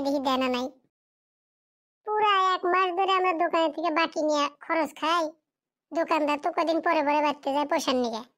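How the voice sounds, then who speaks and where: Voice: very high-pitched, pushed and bright. A performer voicing a poor, fatherless schoolboy in a cartoon drama, pleading and plaintive in a rural Bengali dialect.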